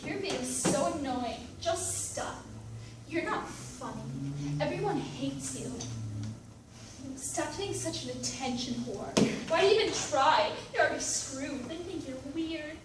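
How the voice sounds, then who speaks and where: A woman's voice: sits high, expressive, a little slow. A woman acting in readers theatre with a pointed, theatrical delivery. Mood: scornful, taunting. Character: mother of a troubled son, and scolding inner voices. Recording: very echoey room, distant mic, noisy.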